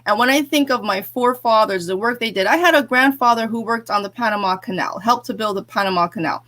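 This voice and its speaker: A woman's voice: a little high, fast, a bit bright. Earnest woman coach giving advice. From born in England, raised in Jamaica and New Jersey. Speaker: guest, business coach and author. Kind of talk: live interview chat.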